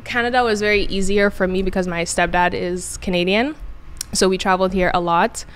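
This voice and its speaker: A woman in her twenties from Ghana, a guest chatting in an interview with many pauses and restarts. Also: mid-range voice, steady tone, fairly bright tone, clear, resonant tone. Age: 20s. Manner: hesitant, many ums and restarts. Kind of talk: casual interview chat.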